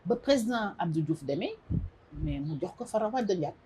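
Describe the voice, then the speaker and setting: A middle-aged woman from Senegal with a Senegalese accent, a guest in an interview, speaking in a measured, thoughtful way with frequent hesitations. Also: fairly low pitch, expressive, noisy background. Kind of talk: casual interview talk mixing French and Wolof.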